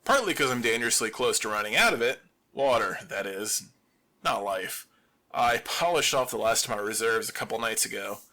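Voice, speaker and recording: Wry, animated man with bright voice. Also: fairly low pitch, normal speed. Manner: wry, deadpan humor. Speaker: radio host in an audio drama. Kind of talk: scripted audio drama monologue.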